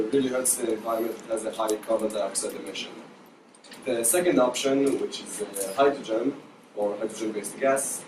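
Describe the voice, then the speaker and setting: A young man from Israel presents, hesitant and full of ums. He is heard from a distance in an echoey room, and steady noise runs behind him. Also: mid-range voice. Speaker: student presenter.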